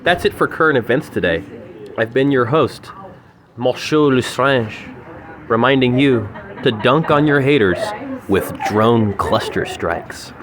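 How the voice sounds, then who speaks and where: Young male podcast host, mock-formal and animated, loud restaurant chatter behind. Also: mid-range voice, unhurried. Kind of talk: mock news anchor banter. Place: sushi restaurant.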